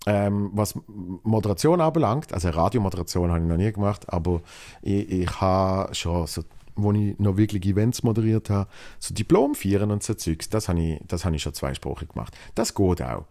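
Man, young adult, Basel Swiss German accent, deep low voice, rambling solo podcast host, lots of fillers and restarts. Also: expressive, normal speed, mellow. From Switzerland. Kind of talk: solo podcast, reading listener mail.

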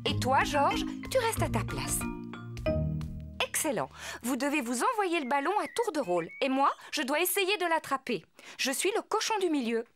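A woman voicing a gentle, encouraging mummy pig in a children's cartoon. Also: high voice, big pitch swings, normal speed. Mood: instructive, cheerful. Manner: encouraging, motherly. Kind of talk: children's cartoon acting in French dub. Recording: music under the voice.

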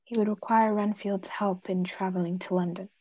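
A woman playing a grave, authoritative vampire-hunting professor in a radio play, formal and deliberate, with a husky voice. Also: mid-range voice, fairly even intonation, warm tone, no room sound, close to an everyday voice, low-quality recording. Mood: grave explaining. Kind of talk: theatrical radio play acting.

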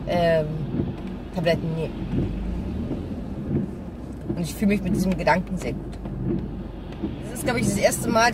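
A woman chatting casually and candidly to her viewers as she drives, in a rambling vlog style. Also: mid-range voice. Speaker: vlogger. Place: inside a car while driving. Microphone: camera mounted in front, at a distance. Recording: car cabin, loud driving noise, distant mic.

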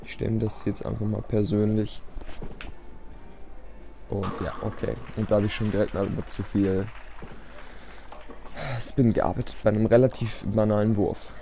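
A man's voice: on the low side, flat delivery, normal speed, creaky, pauses often, mellow, a little hiss or hum. A man giving casual, self-deprecating gameplay commentary in a resigned, rambling way. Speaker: let's play commentator. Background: game music.

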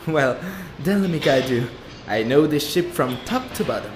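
A man's voice: medium pitch, lively intonation, moderate pace, natural voice. Guy acting friendly confident waiter, radio play, mid voice, echoey w music behind. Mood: helpful, brisk. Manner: helpful, self-assured. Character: friendly, confident young dining-room waiter. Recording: roomy echo, music behind.

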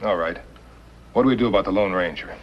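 A man's voice: low-pitched, quick pace. An actor playing a blunt, sceptical, tough outlaw henchman in a 1950s television western. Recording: muffled old television soundtrack.

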